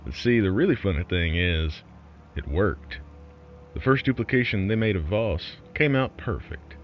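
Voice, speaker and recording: A man's voice: deep voice, expressive, normal speed, dull audio, soft background music. A man playing a wry, folksy underground broadcaster who tells an eerie tale in a sardonic, conspiratorial way. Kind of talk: scripted horror storytelling as a broadcast.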